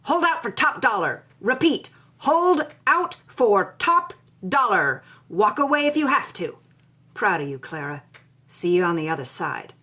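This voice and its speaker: A lively, swinging delivery from a woman gives voice to a roguish adventurer's letters in an audio drama. The phrasing is punchy, hearty and salty, leaning hard on key words. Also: medium pitch, a little slow. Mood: emphatic, salty.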